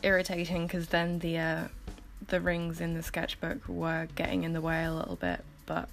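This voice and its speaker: A woman with a slightly low, gravelly voice, croaky as if she has just woken up. She narrates her art process casually and chattily, like an informal vlog voiceover, with music playing behind her. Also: normal speed. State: croaky morning voice, just woke up. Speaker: artist making painting process videos.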